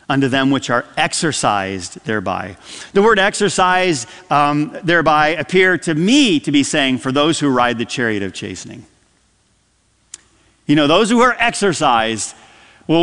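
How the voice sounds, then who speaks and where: A man preaching a sermon from the pulpit, swinging between loud emphatic lines and soft, dropped phrases. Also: a little high. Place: church sanctuary. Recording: long hall reverb.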